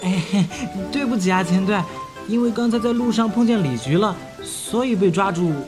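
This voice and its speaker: A woman playing a cheerful, bumbling young police rookie in an animated crime drama, sheepish, eager and chatty. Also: medium pitch, moderate pace, over music, lightly acted.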